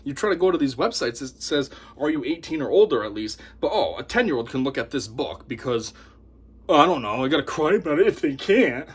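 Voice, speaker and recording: Husky, lively man ranting scornfully. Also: medium pitch, a little fast. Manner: animated, scornful, mocks opponents in put-on voices. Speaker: YouTube commentator. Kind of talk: opinionated monologue to camera.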